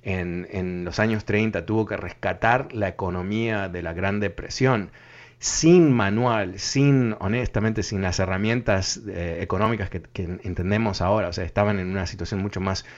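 Un presentador de radio de voz algo grave que conversa en directo con los oyentes. Habla con calma, se detiene a pensar y rellena las pausas con muletillas. El sonido llega apagado, como de una emisión de radio. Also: male voice, sits low, muffled recording. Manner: thoughtful, many fillers and restarts. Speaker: radio talk show host. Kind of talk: live call-in radio talk.

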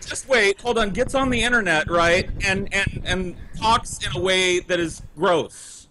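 A man's voice: high voice, measured pace. A man doing comedic podcast banter in a teasing, theatrical manner. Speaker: podcast co-host. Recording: clearly noisy mic with clicks.

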